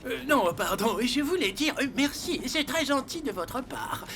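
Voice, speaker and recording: A man voicing a clumsy, flustered thief disguised as a lady in an animated comedy film. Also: very high voice, unhurried, a little hiss or hum, heavily acted.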